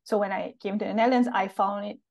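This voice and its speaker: A woman hosts an interview over a video call, speaking into a headset from a room with bookshelves. She asks questions in a probing, conversational way, often restating what she heard. Her voice sits at a middle pitch. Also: fairly even intonation, fast, gravelly, a little mellow. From Singapore. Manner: probing, rephrases guest's answers as questions. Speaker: interview host.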